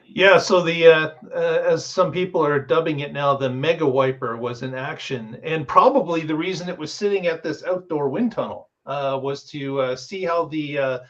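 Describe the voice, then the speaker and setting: A man's voice: fairly high pitch, moderate pace, few pauses, fairly bright tone, lo-fi sound. A man hosting a casual panel discussion, explaining things as he goes. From Canada. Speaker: show host.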